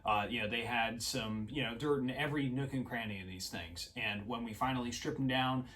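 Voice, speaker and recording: Relaxed young man, distant and a bit noisy. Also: mid-range voice, a little fast. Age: young adult. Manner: relaxed, informal, uses y'all. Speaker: co-host. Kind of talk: casual product talk to camera. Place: indoor office room. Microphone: far camera. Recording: distant mic, faint noise.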